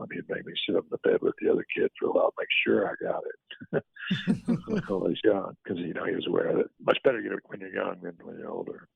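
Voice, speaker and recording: Older texas guy rambling fast, husky voice, sounds like a phone line, dry. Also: deep voice, dry recording. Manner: rambling, folksy, with fillers and restarts. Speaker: guest scientist. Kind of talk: casual storytelling in an interview.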